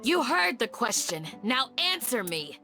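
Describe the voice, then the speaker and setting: A woman's voice: a little high, very animated, unhurried, over music. A woman playing a poised, formidable warrior guardian in a video game, composed and formal with a commanding manner. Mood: stern.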